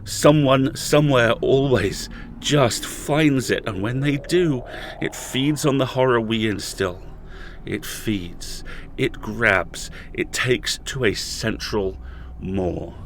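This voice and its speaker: A man's voice: mid-range voice, takes it slowly, a little hiss or hum. A man playing a bitter, furious captive freed after years, speaking with dramatic emphasis in an audio horror drama. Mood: grave, intense.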